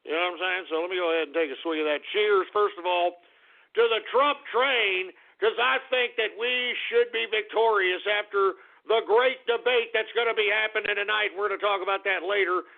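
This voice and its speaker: A man with a hoarse voice hosting an internet radio show, talking in a rambling, folksy way and calling his listeners folks. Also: high voice, brisk. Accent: Texan. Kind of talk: casual call-in radio monologue. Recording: tinny phone-quality internet radio.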